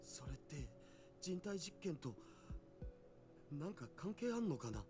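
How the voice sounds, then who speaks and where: A man's voice: fairly high pitch, sing-song, moderate pace, mellow, soft background music. An actor playing an uneasy young male hacker sidekick in a visual novel, talking casually and hesitantly.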